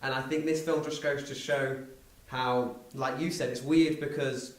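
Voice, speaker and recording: A man's voice: medium pitch, steady tone, unhurried. A man speaking as a podcast host in a casual film discussion. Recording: roomy echo, distant from mic.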